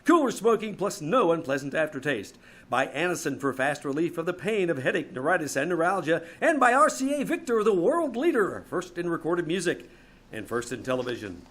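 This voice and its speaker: A man's voice: on the high side, sing-song, fairly quick, a bit bright. A man reading scripted sponsor spots and credits as an old-time radio announcer, with emphatic salesman polish. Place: small community theater stage.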